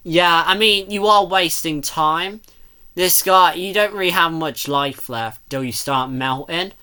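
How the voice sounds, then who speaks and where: Casting: casual reaction commentator, bright mid-range voice, rambling and full of questions. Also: mid-range voice, a little slow, clean tone.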